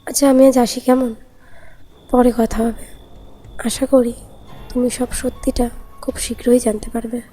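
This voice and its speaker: Woman voicing a very mellow, mysterious young woman. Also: fairly high pitch, flat delivery, normal speed, pauses often, lightly acted. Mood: soothing, mysterious. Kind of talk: animated horror story dialogue. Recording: music under the voice.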